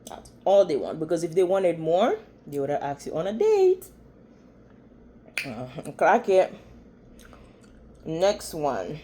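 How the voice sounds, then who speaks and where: A woman's voice: deep voice, very animated, takes it slowly, long pauses, mellow. A woman with a Haitian accent giving blunt, chatty commentary as a vlogger reacting to online threads. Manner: blunt, opinionated, chatty with many fillers.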